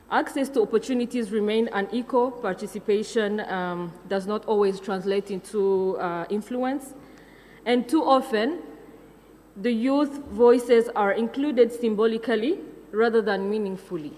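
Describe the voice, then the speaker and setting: A woman's voice: a little high, long reverb tail. Young woman from Rwanda giving a speech. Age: young adult. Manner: reads from a script with fillers and self-corrections. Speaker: conference speaker. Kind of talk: prepared conference speech.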